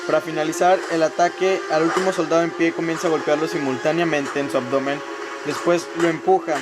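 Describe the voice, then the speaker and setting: A man's voice: fairly high pitch, fairly even intonation, brisk. A man reading scripted narration for a radio drama, telling a story in the third person.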